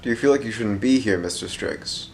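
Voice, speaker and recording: A sinister, precise questioner , as a man plays him, who speaks in formal, measured phrases that drift into rhyme. The voice rings in a roomy space. A faint noise sits underneath. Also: lowish voice, creaky. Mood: cold.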